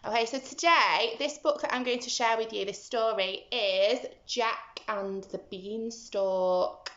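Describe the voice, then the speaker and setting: A woman teacher talking simply and deliberately to young children as she explains and reads a storybook aloud. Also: a little high. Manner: simple, deliberate, instructive, animated when reading. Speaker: early-years school teacher. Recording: roomy, slightly muffled home recording.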